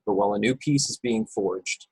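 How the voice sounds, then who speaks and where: A man's voice: lowish voice, moderate pace, a little mellow, slightly rough, no room sound, low-quality recording. A man reading scripted action descriptions as narrator in an informal, unpolished way on an amateur online table read. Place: video call from a room.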